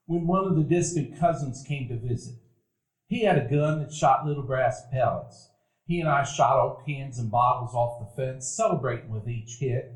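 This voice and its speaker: A man's voice: medium pitch, close to an everyday voice. A man voicing a reflective grown narrator. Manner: measured, reflective storytelling. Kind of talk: radio play narration. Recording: roomy event hall.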